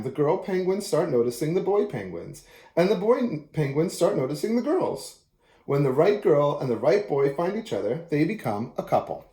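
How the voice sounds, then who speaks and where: Lively, mellow-voiced man reading aloud. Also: a little high. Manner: expressive storytelling. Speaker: children's book reader. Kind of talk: reading a picture book aloud. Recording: echoey room.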